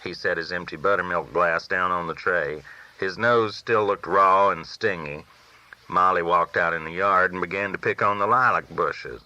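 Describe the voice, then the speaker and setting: Low, forceful man reading a folksy novel. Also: normal speed, lo-fi sound. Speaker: audiobook reader. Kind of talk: first-person narrated novel reading, folksy storytelling.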